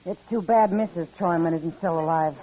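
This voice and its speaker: A woman's voice: on the low side, normal speed, dark tone, muffled recording, natural voice. An actress playing a frank, concerned frontier woman, plain-spoken and familiar, in a radio western. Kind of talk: 1950s radio western acting. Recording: band-limited old radio recording.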